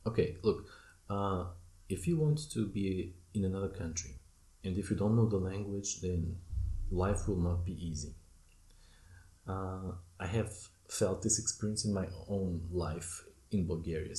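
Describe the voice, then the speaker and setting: A middle-aged man speaks English, his voice low and mellow. He answers questions thoughtfully, pausing and filling gaps with soft ums. The sound carries the echo of a bare room. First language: Portuguese. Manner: reflective, measured, with fillers and pauses. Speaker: podcast guest, former German teacher.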